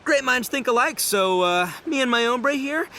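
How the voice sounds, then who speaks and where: A man voicing a brash, boisterous young male gang leader in a game, loud and slangy. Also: very high voice, lively intonation, normal speed, slight background noise, heavily acted. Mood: sincere. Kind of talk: game cutscene voice acting.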